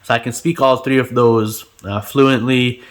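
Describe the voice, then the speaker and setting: A man's voice: medium pitch, steady tone, unhurried. A man in his twenties, Gujarati native speaker from India, chatting casually in an interview with lots of fillers and easy laughter. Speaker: channel host being interviewed.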